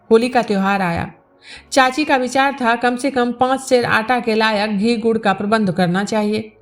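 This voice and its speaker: A woman narrates literary fiction as a storyteller. Her voice sits fairly high, and music plays behind her as she reads from the prepared text. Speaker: storyteller reading a novel aloud. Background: background music.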